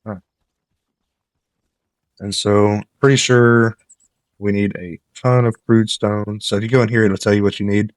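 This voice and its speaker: A man talks through his gameplay in an easygoing, wandering way and drops tips as he goes. His lowish voice sounds slightly nasal because he is coming down with a cold. The close desk mic keeps the sound dry, with no room echo. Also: a little slow, long pauses. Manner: relaxed, rambling, gives tips while playing. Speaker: gameplay commentator. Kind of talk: casual let's-play commentary.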